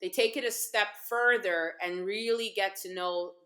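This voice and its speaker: A woman's voice: mid-range voice, normal speed, bright, forceful tone. A woman in her thirties from Guyana who grew up partly in America, chatting casually and emphatically as co-host, repeating phrases for stress. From Guyana, grew up partly in the United States. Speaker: co-host of a cooking show. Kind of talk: casual warm conversation with her mother. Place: living room, seated on a sofa. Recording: roomy living-room echo.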